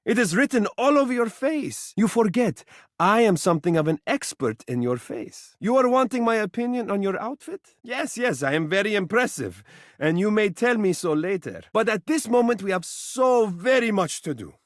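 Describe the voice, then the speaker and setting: An actor voicing a vain, fussy, doting living mirror in a video game, with a flowery, pompous and melodramatic delivery. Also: male voice, high-pitched, very animated, moderate pace, no room sound, clean studio sound. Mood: smug, doting. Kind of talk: theatrical character dialogue for a video game.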